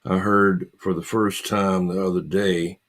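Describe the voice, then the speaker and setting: A man hosting a casual livestream, chatting with viewers in a halting, repetitive way and muttering asides to himself. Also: deep voice, flat delivery, a bit bright. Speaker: livestream host.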